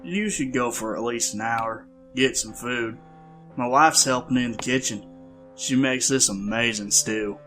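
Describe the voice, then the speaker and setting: A man's voice: medium pitch, measured pace, bright, forceful tone, faint music behind. A man playing a stubborn, kindly older working man who likes to tease, in an audio drama. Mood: fatherly.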